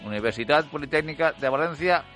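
A man's voice: a little high, lively intonation, fast, loud music behind the voice. A man hosting a cycling radio show, formal at the top and hesitant in later chat. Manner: hesitant, many restarts in chat. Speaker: radio host.

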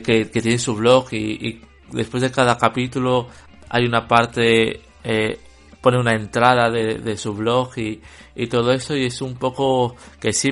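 A man with a Spanish accent from Spain talks through a book review, stumbling over fillers and restarts. His pitch sits in an ordinary middle range. He gets blunt and dismissive, and quiet music plays faintly behind him. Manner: hesitant, full of fillers, bluntly critical. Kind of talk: casual spoken book review.

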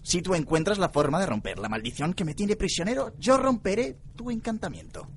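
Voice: mid-range voice, big pitch swings, brisk, a little hiss or hum. A performer voicing a wry, wheedling fire demon held prisoner, in a radio play. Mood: wheedling, plaintive. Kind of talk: amateur radio play acting.

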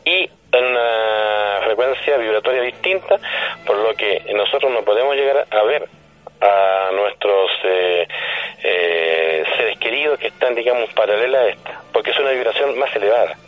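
A man with a Chilean accent explaining things conversationally as a radio guest, didactic and halting, often checking that he is being followed. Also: fairly low pitch, expressive. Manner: didactic, halting, frequently seeks confirmation. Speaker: radio guest on paranormal topics. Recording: dull, band-limited phone or radio line.

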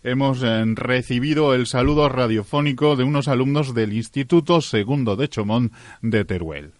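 A man's voice: medium pitch, moderate pace, no echo. A man with a Spanish accent from Spain presenting on the radio as host.

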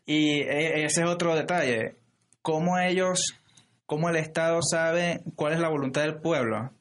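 A man's voice: on the high side, fairly even intonation, unhurried. A man co-hosting a podcast, explaining ideas in casual political talk with many hesitations and restarts. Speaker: podcast co-host.

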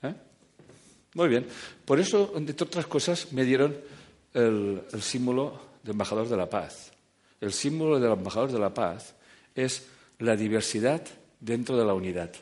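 Animated man lecturing an audience. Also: medium pitch, normal speed, long pauses. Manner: animated, joking, swings between loud and quiet. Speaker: speaker giving a talk.

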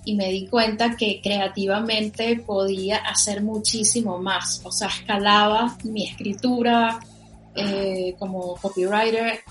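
A woman's voice: medium pitch, unhurried. Venezuelan woman chatting as a podcast guest, upbeat and gushy, faint music under her. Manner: enthusiastic, many fillers, animated. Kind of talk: casual podcast interview.

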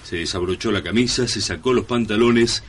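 A man giving a measured, mock-solemn scripted narration for a radio serial. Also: lowish voice, a little hiss or hum.

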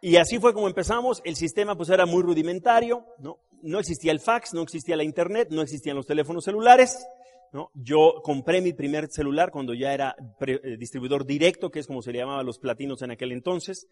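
A man's voice: a little high, fast talker. A middle-aged man gives a live seminar talk to an audience. He is a trained actor and voice artist who tells stories with jokes and keeps asking the crowd for agreement. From Mexico City. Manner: animated storyteller, jokes with the crowd, asks tag questions. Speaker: business seminar speaker.

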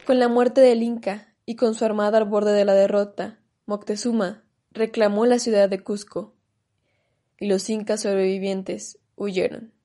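A woman narrating a scripted historical radio drama in a measured storytelling manner. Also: mid-range voice, level tone, dark tone.

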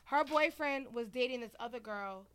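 Blunt young woman, slightly high. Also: a bit bright, clean tone. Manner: blunt, profane, quick opinions. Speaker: podcast co-host. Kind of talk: casual group chat.

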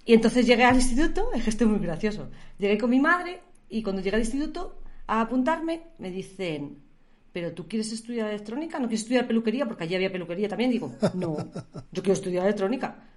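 Middle-aged Spanish-accented woman, podcast guest, chatty and colloquial, mid-pitched. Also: animated, fast. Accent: Spain. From Spain. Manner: chatty, laughs often, colloquial. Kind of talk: casual conversational storytelling.

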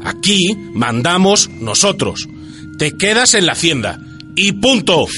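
An actor playing a scornful, domineering priest and hacienda boss in a radio drama, commanding and condescending. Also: male voice, high voice, sing-song, a little slow, bright, forceful tone, full character voice. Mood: bullying, impatient. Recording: music bed under the voice.

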